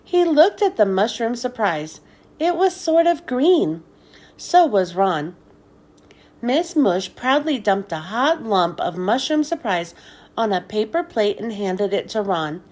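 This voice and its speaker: A woman narrates a children's storybook in a fairly high voice. Her reading is expressive and very animated in pitch, taken at a slow, deliberate pace. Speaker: children's book read-aloud host.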